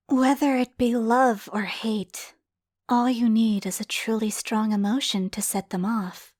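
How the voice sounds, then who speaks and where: A woman playing the ghost of a dead girl in a game dub, cryptic and coldly taunting, speaking in a measured, ominous, breathy whisper. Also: a little high. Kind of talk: dramatic video game dub acting. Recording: background music under the voice.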